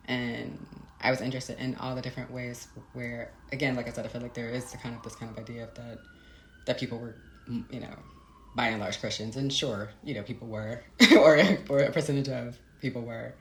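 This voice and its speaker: Female interviewee, author type. Crackly vocal fry, thoughtful and searching delivery, reverberant room sound. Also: rumbling low, steady tone, fairly quick. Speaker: interviewed novelist. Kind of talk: reflective conversational interview. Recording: roomy, echoey sound.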